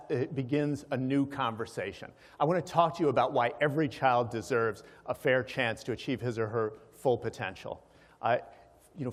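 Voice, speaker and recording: A man giving an explanatory presentation to a live audience, checking in with listeners, with hesitations as he goes. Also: mid-range voice. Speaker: conference speaker, organization president. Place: large hall, live event. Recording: reverberant hall sound.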